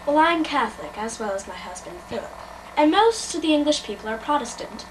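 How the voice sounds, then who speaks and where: A teenage boy voicing an old nurse and a Tudor queen in a high falsetto, with a prim, matter-of-fact manner. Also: very animated, a little fast. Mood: defensive. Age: teens. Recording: distant mic, noisy room.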